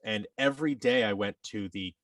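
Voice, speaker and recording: A man telling his story casually as a podcast guest, reflective and halting, often acting out bits of quoted dialogue. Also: on the low side, a bit bright, no room sound, clean studio sound, recorded from a distance. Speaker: podcast guest, actor and audio drama producer.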